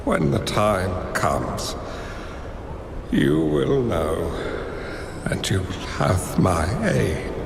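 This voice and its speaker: Slow, solemn old master in echo. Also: male voice, low voice, very animated, gravelly, background noise, heavily acted. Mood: solemn, guiding. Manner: measured, formal, weighty delivery. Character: solemn elderly male master and mentor, gentle and prophetic. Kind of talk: dramatic scripted game dialogue. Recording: echoey, reverberant, music behind.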